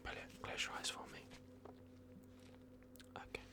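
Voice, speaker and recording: A performer voicing a soft-spoken, bumbling vampire doctor in an ASMR roleplay, whispering hesitantly and repeating reassurances. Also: measured pace, close to an everyday voice. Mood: calm, instructing. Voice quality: whispered, creaky. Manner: hushed, hesitant, repetitive, reassuring.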